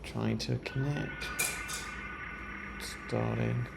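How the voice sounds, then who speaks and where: A man's voice: mid-range voice, fairly even intonation, very slow, gravelly. A man talking casually to camera in a vlog, with faint ambient noise behind him. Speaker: vlogger, rock climber.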